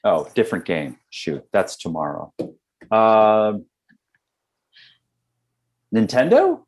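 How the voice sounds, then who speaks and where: A man's voice: on the low side, huge pitch swings, takes it slowly, husky. A man playing as a game show contestant, bantering casually.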